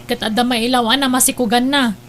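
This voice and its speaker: A woman's voice: fairly high pitch, fairly even intonation, fairly quick, close to an everyday voice. A woman playing a nagging, worried older sister in an audio drama, with an Ilocano accent. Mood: worried, prying. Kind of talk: Ilocano radio-style audio drama acting.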